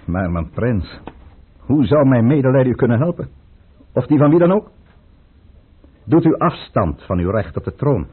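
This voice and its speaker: An actor playing a cold, scheming Russian count in a Dutch radio play, theatrical and insinuating. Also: male voice, medium pitch, very animated, moderate pace, pauses often, husky. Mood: pressing, measured. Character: scheming Russian count, commanding, cold, silky courtly conspirator. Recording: muffled, band-limited old radio recording.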